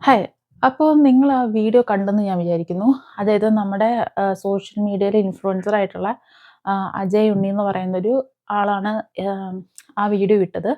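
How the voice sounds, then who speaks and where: A woman talking casually to viewers as an online commentator, halting and often searching for words. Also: mid-range voice, steady tone. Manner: halting, searching for words, with frequent hesitant pauses. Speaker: YouTube commentator. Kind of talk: casual reaction vlog talk to viewers. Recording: dull, lo-fi sound.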